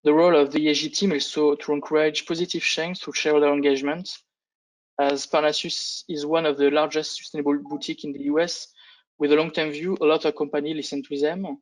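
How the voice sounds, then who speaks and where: A man who speaks English as a second language gives a business presentation on a conference call, with halting delivery and frequent false starts. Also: a little high, level tone, poor audio. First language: not English. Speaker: fund analyst presenting on a panel.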